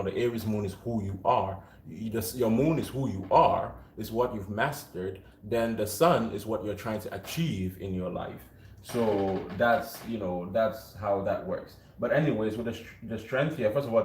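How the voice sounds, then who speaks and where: A man with a deep, low voice giving a rambling tarot reading to a viewer, often breaking off mid-thought, recorded without a microphone. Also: a little mellow. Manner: rambling, self-interrupting, frequent fillers. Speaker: tarot reader. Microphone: no microphone, built-in device mic. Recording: echoey room.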